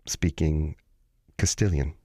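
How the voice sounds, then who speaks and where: An American man co-hosting a casual radio show, talking haltingly with many fillers and restarts. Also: very deep voice, dark tone, no echo, clean studio sound. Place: radio studio.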